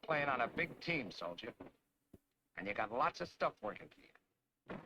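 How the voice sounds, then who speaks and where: Tough grumbling American tank soldier, 1940s training film: brash, sharp, swooping emphatic delivery, noisy old soundtrack. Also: male voice, mid-range voice, big pitch swings, unhurried, gravelly, full character voice. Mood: rallying.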